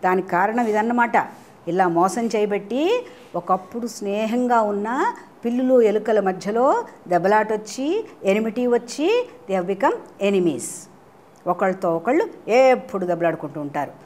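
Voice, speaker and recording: A woman's voice: medium pitch, animated, moderate pace, darkish tone. Woman telling children's stories, some background noise. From India. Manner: asks listeners questions, mixes in English phrases. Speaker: children's storyteller. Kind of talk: animated storytelling for children.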